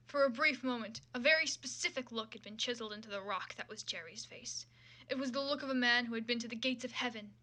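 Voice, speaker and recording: A woman's voice: a little high, bright, forceful tone. A woman livestreaming fan fiction readings in a casual, sweary, self-mocking way. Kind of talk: casual livestream chat and comic read-aloud.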